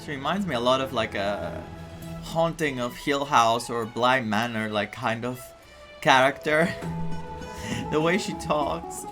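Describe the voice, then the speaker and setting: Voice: medium pitch, unhurried. Casual streamer from Greece over game music. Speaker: streamer playing a story game. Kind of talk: casual game commentary, reacting aloud.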